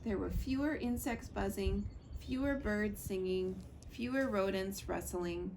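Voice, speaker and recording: A woman's voice: mid-range voice, measured pace, noisy background. A woman reading a children's novel aloud as storybook narration.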